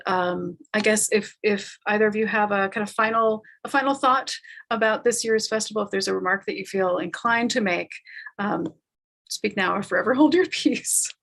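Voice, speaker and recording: A woman hosts an online talkback in an informal way. Her phrasing is measured and halting, with pauses as she works toward each point. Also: on the low side, a bit bright. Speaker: talkback host. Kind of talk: informal hosting of an online panel.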